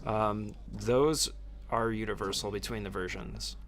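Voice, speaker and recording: A man's voice: fairly low pitch, steady tone, measured pace. A man doing casual, conversational speedrun tutorial commentary, explaining each step in an informal way.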